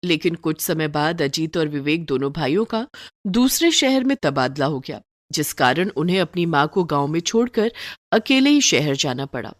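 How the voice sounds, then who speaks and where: A woman's voice: low-pitched, animated, moderate pace, no room sound. Woman storyteller with a dry, close sound. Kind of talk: scripted moral-story narration.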